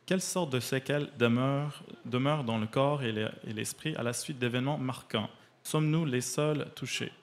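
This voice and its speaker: A man with a medium-pitched voice and as his gives a prepared arts column. He partly reads his reviews and explanations in the manner of a cultural radio segment. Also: moderate pace.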